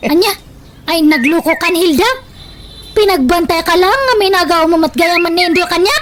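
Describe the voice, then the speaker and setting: A woman's voice: very high voice, normal speed, fairly bright tone, slight background noise. A woman acting a hot-tempered, jilted village woman in an Ilocano comedy radio drama, melodramatic and indignant, with an Ilocano accent. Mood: suspicious, indignant.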